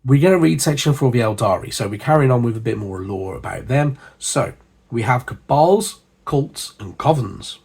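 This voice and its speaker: A man hosting a hobby channel, hoarse and husky from a cold, chatting casually with lots of fillers and asides. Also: medium pitch, expressive, moderate pace, darkish tone. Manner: chatty, rambling, with frequent fillers. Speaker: miniature painting channel host. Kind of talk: casual hobby chat to camera.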